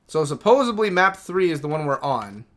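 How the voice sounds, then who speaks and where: A man in his thirties chats casually while he streams a game. His voice sits a little on the high side, and he rambles as he thinks aloud. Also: big pitch swings, moderate pace, a bit bright. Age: 30s. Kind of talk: casual livestream chat.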